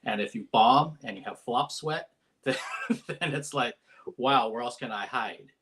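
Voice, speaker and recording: A man hosts a live-streamed interview over a video call, his voice mid-pitched. He is friendly and chatty, leaning on fillers and restarts as he asks his questions. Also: unhurried, a bit bright. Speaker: interviewer, host.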